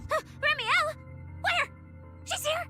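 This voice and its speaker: A woman voicing an energetic, caring young girl companion in a video game. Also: extremely high pitch, slow, soft background music, exaggerated voice. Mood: startled.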